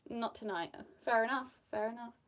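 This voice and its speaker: A young British woman with a faint Australian lilt chats away while doing her makeup on camera. She rambles with fillers and laughs easily, in a relaxed, friendly way. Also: fairly high pitch, moderate pace. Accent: British, with a slight Australian tinge. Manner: chatty, giggly, rambling with fillers. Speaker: makeup vlogger. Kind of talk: casual get-ready-with-me chat.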